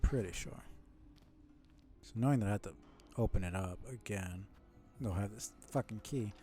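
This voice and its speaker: A man giving casual live game commentary as a streamer, muttering in a low-key way and swearing freely. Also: moderate pace, mellow, soft background music.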